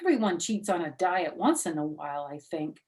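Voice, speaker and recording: A woman voicing a firm, patient male elementary school teacher in a storybook, speaking in a deliberate, admonishing way. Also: lowish voice, big pitch swings, darkish tone. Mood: firm, conciliatory.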